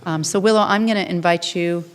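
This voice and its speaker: A woman's voice: fairly low pitch, a little fast, a little hiss or hum. An older woman hosting an event, giving announcements to an audience as a congregation leader. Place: synagogue sanctuary, microphone on stand at podium. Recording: reverberant hall, podium microphone.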